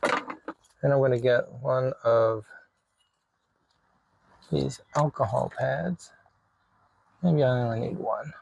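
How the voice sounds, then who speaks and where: A man's voice: mid-range voice, slow pace, creaky, long pauses, slight background noise, rough recording. A man narrating a hands-on DIY vlog in an informal way, thinking aloud as he works. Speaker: video creator showing a DIY radio install.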